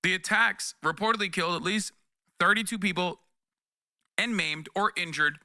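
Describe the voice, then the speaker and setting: A man with a fairly high, very bright and crisp voice commentates on a livestream. He speaks bluntly and emphatically, mixing news reading with heated reaction. The sound is dry and close. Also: normal speed, no echo. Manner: emphatic, sweary, blunt, repeats points for emphasis.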